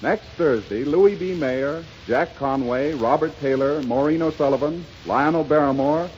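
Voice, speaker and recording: A man's voice: on the high side, moderate pace, darkish tone. A man with an American accent reading scripted announcements as a 1930s radio announcer. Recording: hissy, muffled 1930s radio recording.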